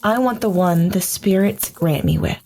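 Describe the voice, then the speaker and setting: An actress playing a gentle young village wife who giggles and speaks earnestly, in an audio drama. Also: female voice, medium pitch, huge pitch swings, moderate pace, slight background noise. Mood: calm.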